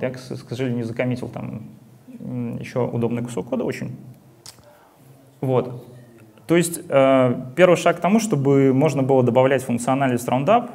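Gravelly young man rambling through a tech demo. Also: mid-range voice, a little mellow. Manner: rambling, many fillers and restarts. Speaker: meetup speaker. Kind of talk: casual tech talk with live demo. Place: meetup hall. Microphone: handheld mic.

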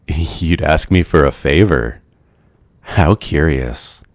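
Guy acting as an old lonely vampire for asmr roleplay, slow formal talk, super close dry sound, brooding and polite. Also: very deep voice, no room sound, exaggerated voice. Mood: brooding, intrigued. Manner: formal, hesitant, softly courteous.

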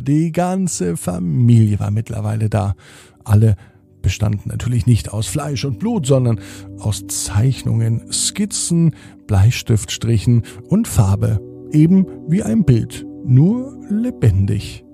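A man with a dark, gravelly voice tells a bedtime story slowly and softly. His delivery is calm and soothing, with a husky edge. The sound is dry and close, with faint music underneath. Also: medium pitch, no room sound. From Germany. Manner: calm, soothing, slowing to a hush at the end. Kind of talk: gentle bedtime story reading.

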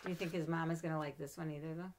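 Middle-aged woman, lowish voice, lively storybook reader with playful asides, home webcam. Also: very fast, noisy background. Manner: expressive, animated read-aloud with playful asides. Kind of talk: reading a children's picture book aloud. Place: home room with bookshelves. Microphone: webcam at desk.

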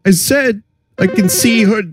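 High, creepy gushing Mario-brother plumber. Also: male voice, a little slow. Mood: creepy, gleeful. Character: excitable squeaky Mario-brother plumber, creepily fond of watching sleepers. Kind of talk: improvised comic character voice.

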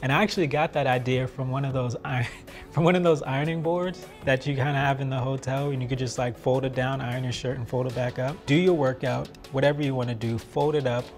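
A man's voice: mid-range voice, steady tone, fast. A man in his thirties talking casually and enthusiastically as an inventor presenting his product in a promotional interview. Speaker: product inventor, mechanical engineer. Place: large open office, indoors. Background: background music.

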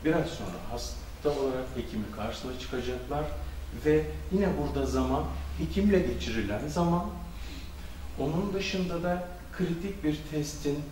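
A man's voice: a little high, darkish tone, slight background noise. Middle-aged male doctor lecturing at a symposium, handheld mic, heavy hall echo, mic far off. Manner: measured lecturing with frequent hesitation fillers. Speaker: conference speaker, physician. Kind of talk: academic symposium talk. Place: conference hall. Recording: echoey hall, distant camera audio.

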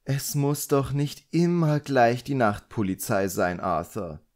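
A man voicing a magician's middle-aged wife in an audiobook, pained and gently reasoning. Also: mid-range voice, expressive, slow pace, voice pushed up. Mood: pained, placating.

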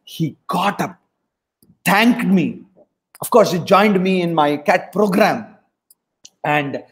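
A middle-aged man with a South Indian accent, coaching students in a motivational webinar, repeating his points for emphasis. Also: fairly high pitch. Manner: emphatic, repeats lines for effect, mixes in Hindi. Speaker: test prep coach. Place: home room on a video call.

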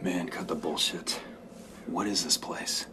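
A man's voice: low-pitched, steady tone, unhurried, noisy background. An actor playing a weary, guarded American convict in his thirties in a video game, terse and evasive. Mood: frustrated. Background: background music.

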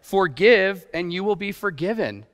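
A man's voice: sits high, animated, a little slow, fairly bright tone. A middle-aged man giving an informal sermon in a self-deprecating, conversational way with rhetorical questions. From grew up partly in Japan. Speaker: guest preacher, youth pastor. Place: church stage, indoors. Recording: reverberant hall sound.

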